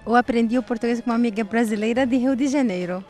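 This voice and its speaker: An Egyptian woman working as a tour guide outdoors, explaining history in halting learned Portuguese, with Arabic as her first language. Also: on the high side, darkish tone, faint music behind. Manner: halting non-native phrasing, explanatory. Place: outdoors at an ancient temple.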